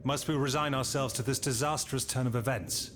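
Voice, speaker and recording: A man's voice: medium pitch, steady tone, a little fast, lightly acted. A man playing a shrewd, worldly French marquis and general in a video game, assured and formal. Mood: wry, resigned. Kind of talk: dramatic video game acting. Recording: music behind the voice.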